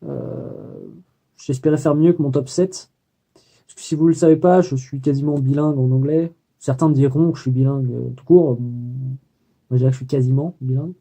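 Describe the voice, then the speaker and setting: A man's voice: mid-range voice, steady tone, moderate pace, warm tone. A young man recording a daily video diary about his student life, talking hesitantly with many fillers and self-corrections. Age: young adult. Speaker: student vlogger.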